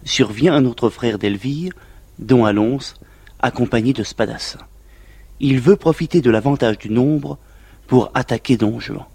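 A man giving scripted, literary narration in a measured way, linking scenes on a 1950s French record. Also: mid-range voice, vocal fry, mellow, husky, no room sound.

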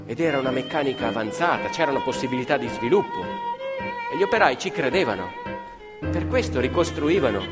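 Animated male storyteller in a reverberant hall. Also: a little high. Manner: declamatory, with rhetorical questions and repetitions. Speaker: actor-storyteller. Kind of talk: dramatic theatrical narration for radio theatre. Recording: reverberant hall, live music behind. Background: live violin and plucked strings.

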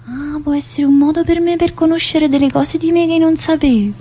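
Woman acting mouthy middle-aged jailbird lady, southern italian accent, highish voice, chatty and teasing, theatre monologue. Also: fairly even intonation, brisk, warm tone, lightly acted. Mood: restless, playful. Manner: chatty, confrontational, with frequent interjections and questions. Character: brash, teasing, restless middle-aged female prison inmate. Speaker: stage actress performing a monologue.

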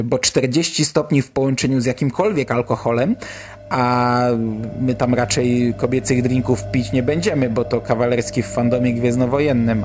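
A man hosting a podcast in casual, wry, colloquial chat. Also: medium pitch. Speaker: podcast host.

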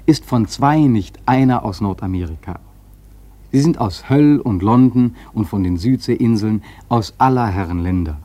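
A man's voice: medium pitch, mellow, breathy edge, dry recording. An actor playing a seasoned, wry sailor who recounts his whaling voyage in a radio play, musing and emphatic. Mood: storytelling. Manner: musing, rhetorical, emphatic storytelling. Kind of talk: literary radio play narration.

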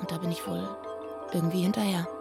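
An actress playing an egoistic young drifter in a radio drama, musing in halting, colloquial speech. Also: female voice, lowish voice, steady tone, lightly acted. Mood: musing, amazed. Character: free-spirited young woman, awed egoistic drifter. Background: music.

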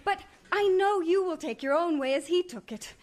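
An actress playing a frantic young wife, pleading and emotional, full of dread. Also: female voice, very high voice, moderate pace, a little mellow. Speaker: pastor's wife. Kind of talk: stage play acting.

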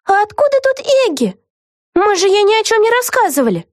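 A woman voicing a high-strung young witch girl in a children's story, shrill, dramatic and exclaiming. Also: very animated, fairly quick, no echo, studio quality. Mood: puzzled alarm.

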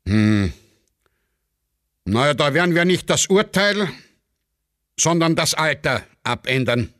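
A man's voice: on the high side, animated, slow pace, fairly bright tone, no room sound, clean studio sound, voice pushed up. A man playing a callous, cynical military judge with an Austrian accent in a satirical radio play, brusque and dismissive. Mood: cynical, offhand.

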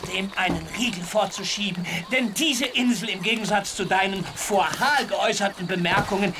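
An actor in a radio drama playing a paranoid, fanatical island sect leader, pompous, agitated and accusing. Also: male voice, sits high, far from the mic. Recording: off-mic with noise behind.

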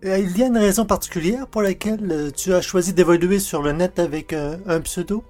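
Guy hosting a podcast, voice a bit high, scripted intro style, soft music behind. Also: brisk. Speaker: podcast presenter and interviewer.

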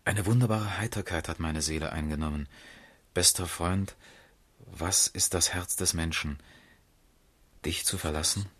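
An actor playing a commanding, grieving master in a German radio drama, with a deep, husky voice. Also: male voice, measured pace, long pauses, fairly bright tone, exaggerated voice. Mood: brooding, reflective. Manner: commanding, impatient, then brooding. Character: brusque, shocked master grieving his friend.

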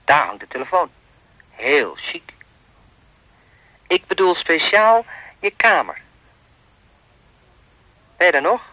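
A man's voice: a little high, sing-song, takes it slowly, pauses often, bright, forceful tone, dry recording, full character voice, low-quality recording. An actor playing a creepy, insistent anonymous caller in a radio play, needling and insinuating as he speaks. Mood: insistent, sinister.

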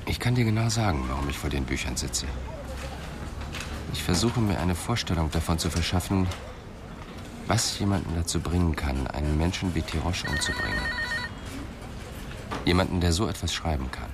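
A man's voice: sits low, expressive, moderate pace, pauses often, background noise. A man playing a pensive, dryly ironic police detective in a radio crime drama, speaking in a thoughtful, clipped way. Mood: brooding, determined.

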